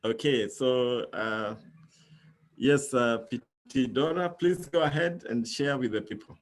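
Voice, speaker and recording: A man's voice: medium pitch, measured pace. A man hosting an online business talk, persuading guests and often asking "yeah?". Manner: persuasive, calls for agreement with "yeah?". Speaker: host.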